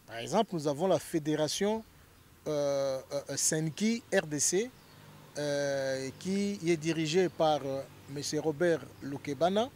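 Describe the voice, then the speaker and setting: A man's voice: a little high, measured pace, darkish tone, slight background noise. A man from Congo, a lawyer, explaining his association in an interview, hesitant with many fillers and growing more emphatic.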